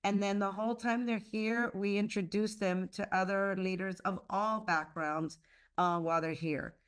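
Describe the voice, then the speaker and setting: Smooth-voiced woman on a video call. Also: medium pitch, steady tone, normal speed. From United States. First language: Spanish. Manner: earnest, many fillers and restarts. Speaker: leader of a leadership institute, guest. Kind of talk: conversational interview answers.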